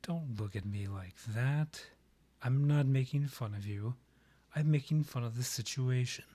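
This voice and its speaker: A man acts out a proud vampire king in a fantasy audio roleplay. The voice is breathy and husky at a middling pitch. The phrasing is formal and measured, with a courtly, theatrical polish. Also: mellow, close to an everyday voice. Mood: dry teasing. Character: proud, courtly male vampire monarch, rival and ex. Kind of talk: scripted roleplay audio, ASMR.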